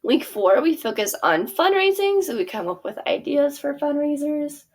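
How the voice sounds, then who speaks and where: A woman's voice: high-pitched, big pitch swings, normal speed, darkish tone. A woman teacher casually walking through her theater curriculum, chatty and full of fillers. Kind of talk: casual walkthrough of a product.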